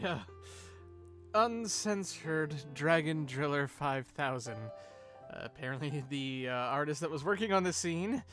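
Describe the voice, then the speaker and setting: A man co-hosting a fan breakdown, giving recap commentary in a playful, excitable and cheeky way. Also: fairly high pitch. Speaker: co-host of a fan breakdown show.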